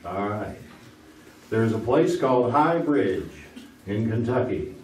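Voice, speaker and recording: A man's voice: lowish voice, very animated, takes it slowly, a little mellow. A man playing a wisecracking, flustered 1950s game show host in a stage comedy, recorded far off in an echoey theater with audience noise behind. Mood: joking. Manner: joking, flustered banter.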